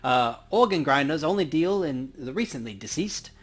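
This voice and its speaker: A man's voice: a little high, animated, moderate pace, fairly bright tone. A man voicing a world-weary, matter-of-fact male coroner from a cyberpunk game.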